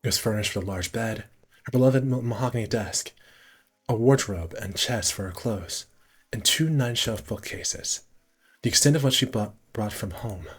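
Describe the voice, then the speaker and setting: A man's voice: lowish voice, normal speed, breathy edge. A man casually streaming a visual novel, reading the narration aloud and correcting himself with muttered asides.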